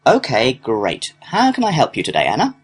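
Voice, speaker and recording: A man's voice: mid-range voice, huge pitch swings, moderate pace, husky. A man playing a courteous complaints clerk, reading scripted customer-service dialogue in a helpful, procedural way. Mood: courteous, businesslike. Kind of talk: scripted listening-test dialogue.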